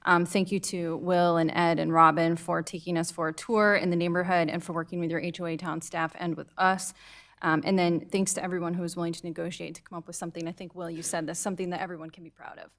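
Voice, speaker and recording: An American woman speaks quickly in a slightly low voice. She gives public remarks as a commissioner, brisk and informal in manner. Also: little pitch movement, a bit bright. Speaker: town board commissioner. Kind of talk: public remarks at a town meeting.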